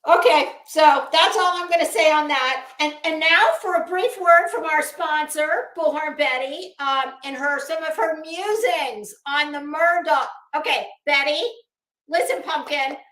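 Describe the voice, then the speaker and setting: A woman hosting a casual livestream rant, blunt, mocking and profane, with halting, emphatic repetition as she chats to viewers. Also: high voice, a bit bright. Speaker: livestream host. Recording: roomy, echoey sound.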